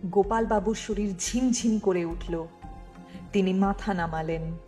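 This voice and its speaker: A woman narrates a thriller audiobook in a scripted, dramatic storytelling style. Her voice sits at a medium pitch for a woman, with faint music running underneath. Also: a little slow, warm tone.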